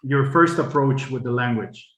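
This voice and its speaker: A man's voice: mid-range voice, moderate pace, fairly bright tone. A man speaking English as the guest speaker, friendly and often repeating words as he thinks. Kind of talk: colloquium Q&A. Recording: echoey room.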